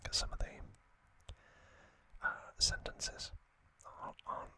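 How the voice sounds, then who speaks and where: Man, ASMR host, breathy whisper, very slow, gentle, short broken phrases, trivia commentary.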